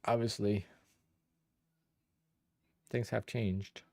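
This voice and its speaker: Low-voiced man chatting on a livestream. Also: drawn-out words, no room sound. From Poland. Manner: relaxed, rambling, thinks aloud with restarts. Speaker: day trader streaming live. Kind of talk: casual livestream chat answering viewers.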